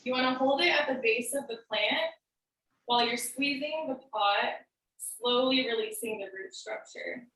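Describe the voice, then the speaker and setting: Bright-voiced woman presenter in an echoey room. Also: high-pitched, normal speed. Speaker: student giving a demonstration speech. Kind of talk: prepared instructional speech, casual delivery. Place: indoor room on a recorded video meeting. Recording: roomy, echoey, distant microphone.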